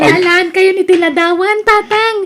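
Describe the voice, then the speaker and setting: An outspoken young schoolgirl in an audio drama, played by a woman, with an extremely high, childlike pitch and an Ilocano accent. She talks boldly and freely. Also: steady tone, measured pace, very noisy. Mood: teasing, delighted.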